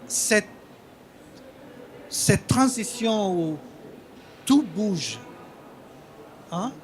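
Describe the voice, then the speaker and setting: A man who is a native Albanian speaker, a writer talking on a conference panel in a halting, hesitant way with many repeated words. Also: high-pitched, lively intonation, a little hiss or hum.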